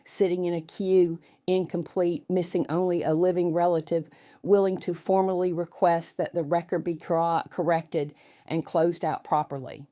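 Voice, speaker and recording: Female story narrator, low register, dry close sound, scripted first-person delivery. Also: flat delivery, normal speed, mellow, no room sound.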